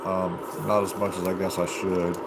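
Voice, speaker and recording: An American man chatting casually on a livestream, rambling in a halting, unhurried way as he thinks aloud. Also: deep voice. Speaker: amateur livestreamer and CB radio hobbyist. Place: home basement. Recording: loud steady background noise.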